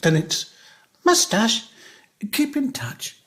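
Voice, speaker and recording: An older man playing a lonely, wry retired teacher near eighty in a care home, in a reflective, measured dramatic monologue. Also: very high-pitched, huge pitch swings, a bit bright, full character voice. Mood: mimicking others.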